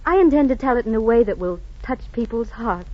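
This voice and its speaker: An idealistic, tender-hearted lady in 1940s radio drama. She speaks in a genteel, sentimental gush, a little high in pitch. The old recording sounds dull. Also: lively intonation, fairly quick. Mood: effusive, heartfelt.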